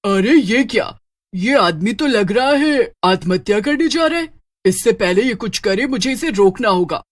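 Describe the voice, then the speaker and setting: A woman's voice: mid-range voice, lively intonation, a little slow. A woman voicing a cunning witch in a dramatised storybook tale, with a scheming, emphatic delivery. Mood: alarmed. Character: cunning witch luring a poor boy with gold.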